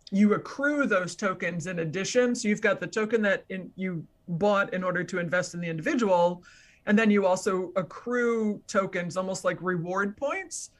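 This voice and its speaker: A woman speaking as a guest expert, explaining methodically in conversation with examples and contrasts. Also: lowish voice.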